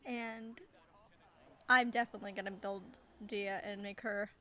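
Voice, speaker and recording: A woman's voice: mid-range voice, steady tone, unhurried, bright, forceful tone. A woman game streamer chatting casually in a giggly, chatty manner. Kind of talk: casual livestream chat. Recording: faint noise, clicks.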